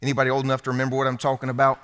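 Bright-voiced man preaching with humor. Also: mid-range voice, monotone, racing, clear, resonant tone. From United States. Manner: conversational, humorous preaching to a congregation. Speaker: pastor. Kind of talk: sermon. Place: church hall before a congregation.

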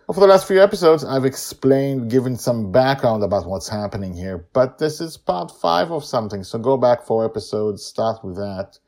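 A man hosting a storytelling podcast, narrating with animated, chatty asides. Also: medium pitch, normal speed, few pauses.

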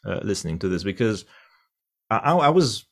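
Deep-voiced man, thoughtful. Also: very animated, fairly quick, fairly bright tone. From Russia. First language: Russian. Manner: thoughtful, many fillers and restarts. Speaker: interpreter. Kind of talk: conversational interview answer.

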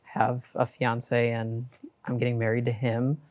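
A man's voice: mid-range voice, monotone, unhurried, a little mellow. Upbeat, open man. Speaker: panel guest.

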